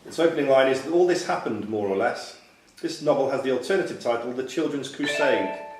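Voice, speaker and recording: A man's voice: mid-range voice, expressive, a little fast. A man reading quiz questions aloud as a quizmaster in a club room. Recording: echoey club room with background noise.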